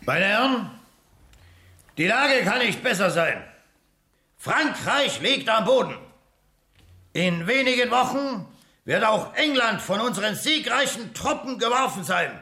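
An actor playing a pompous army colonel in a radio drama, declaiming a war speech loudly. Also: male voice, sits high, sing-song, a little slow, pauses often, heavily acted. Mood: triumphant. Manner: declamatory, loud. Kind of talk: radio drama acting, rally oratory. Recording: echoey hall speech.

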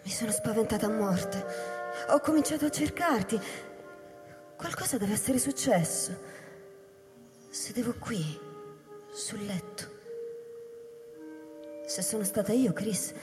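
An actress playing a fragile, bewildered young woman in a radio drama, speaking in a halting, hesitant way with heavy reverb. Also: medium pitch, lively intonation, natural voice. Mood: frightened, confused. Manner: halting, hesitant, soft-spoken pleading. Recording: reverberant hall, music underneath. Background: music.

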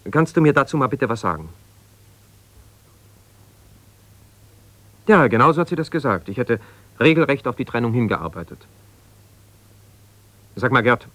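An actor playing an aggrieved, self-justifying middle-aged husband in a naturalistic radio drama, halting and reproachful as he argues. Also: medium pitch, a bit bright, no echo, close to an everyday voice. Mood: aggrieved, agitated. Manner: halting, argumentative, reproachful, breaking off mid-sentence. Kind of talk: naturalistic 1970s German radio drama, one-sided conversation.

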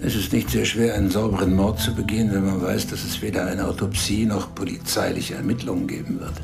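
An actor playing a calm, menacing male spymaster who questions a subordinate in a radio drama. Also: deep voice, brisk, creaky. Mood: cold. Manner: calm, cold, interrogating. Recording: studio radio play with music behind.

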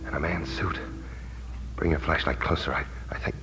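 An actor playing a tense amnesiac war veteran in a hard-boiled 1940s radio drama. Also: male voice, very deep voice, steady tone, moderate pace, noisy background. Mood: hushed, wary. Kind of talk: 1940s radio drama acting with first-person narration. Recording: old 1940s radio broadcast.

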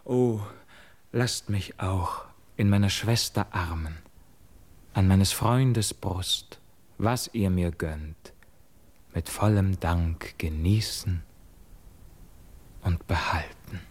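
A man's voice: low-pitched, slow, long pauses. An actor playing a haunted young nobleman freed from a curse, declaiming fervently in a classical radio verse drama. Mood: relieved awe.

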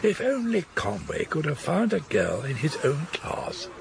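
An actor playing a courteous, urbane older English gentleman in a British radio drama. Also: a little high, sing-song, measured pace, gravelly, crowd noise, exaggerated voice. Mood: concerned, questioning. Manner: courteous, genteel. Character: urbane older gentleman, courteous, loyal friend of the victim's family.

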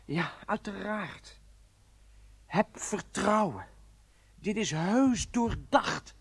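A determined, courteous husband on a covert mission in a radio drama. His husky voice moves up and down a lot, and he phrases things with deliberate, polite emphasis. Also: high-pitched, lively intonation, full character voice. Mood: persuasive, assured. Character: determined newlywed husband, secret agent posing as polite guest. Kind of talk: Dutch radio play acting.

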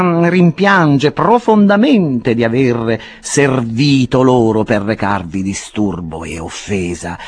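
A husky-voiced man reading an audiobook and voicing a shrewd, self-satisfied lawyer who speaks slowly and with emphasis. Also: medium pitch, voice pushed up, full character voice. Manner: slow, emphatic and insistent. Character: shrewd lawyer, pleased with himself. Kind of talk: audiobook character voice.

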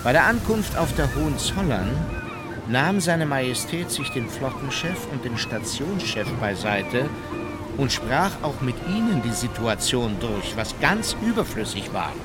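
Casting: a resentful naval officer narrating a diary, dry and pointed, highly expressive melody, music behind. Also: male voice, a little slow. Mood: wry resentment.

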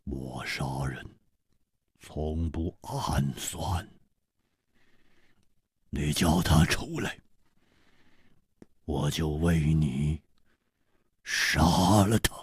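A man's voice: extremely low pitch, slightly rough, no room sound, clean studio sound, voice pushed down, heavily acted. A man voicing a cold, taciturn assassin in a martial-arts storybook, speaking in icy, clipped phrases. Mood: cold, menacing. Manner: icy, slow, clipped delivery. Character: cold, taciturn male assassin of few words.

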